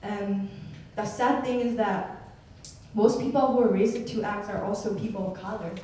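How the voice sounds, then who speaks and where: A woman's voice: medium pitch, steady tone, mellow. Young woman, Burmese accent, hesitant, fillers; distant mic in a big echoey hall. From Myanmar. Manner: hesitant, halting, many fillers. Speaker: student asking a question. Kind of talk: audience question at a summit. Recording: echoey hall from far off, faint noise.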